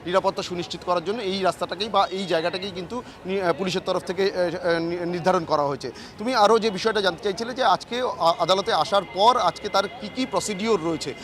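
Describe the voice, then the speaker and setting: A man's voice: sits high, fast talker, fairly bright tone. A man reporting live news outdoors with emphatic, repetitive phrasing. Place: outdoors outside a city courthouse.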